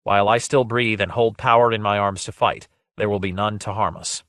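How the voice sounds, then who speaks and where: A man's voice: on the low side, steady tone, fairly quick, fairly bright tone, no room sound, natural voice. A man acting a bold, defiant male adventurer in a fantasy story, speaking in a proud, formal, archaic and declamatory way. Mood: resolute, gallant.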